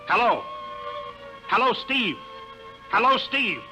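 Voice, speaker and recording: A man's voice: extremely high pitch, sing-song, slow pace, over music, heavily acted. An American man playing an aging, anxious flight controller in a 1950s radio drama, earnest and insistent. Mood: alarmed, urgent. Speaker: actor. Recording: noisy, muffled 1950 radio recording.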